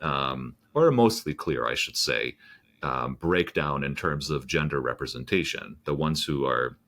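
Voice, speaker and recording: A man's voice: very deep voice, animated, measured pace, a bit bright. A man speaking as a guest in an interview, thoughtful and hedging, with frequent fillers and restarts. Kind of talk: conversational interview.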